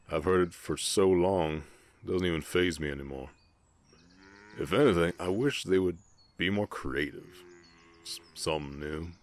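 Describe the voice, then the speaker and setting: A man voicing a big, gentle, guarded orc ranch hand with a Southern US accent, speaking politely and haltingly in an intimate Western ASMR roleplay. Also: very deep voice, creaky, heavily acted. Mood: resigned, guarded. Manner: halting, polite, soft-hearted drawl with folksy turns.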